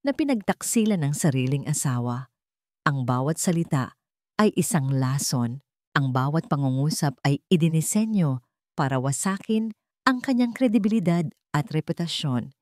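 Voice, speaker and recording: A woman narrates a dramatic story with measured, weighty delivery. Her voice sits low. The recording is dry and close. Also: a little mellow, no echo.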